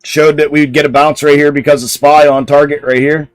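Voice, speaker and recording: Blunt, loud-voiced male stream host. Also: on the high side, little pitch movement, a little fast, clear, resonant tone, no echo. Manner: loud speaking voice; blunt, answers chat comments directly. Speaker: stock trading livestream host. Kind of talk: casual livestream commentary.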